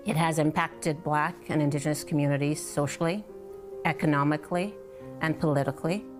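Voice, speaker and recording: Woman in a documentary interview, quite low voice, explains things carefully, music playing behind. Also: fairly even intonation, a little fast. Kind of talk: documentary interview commentary on environmental racism. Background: instrumental music.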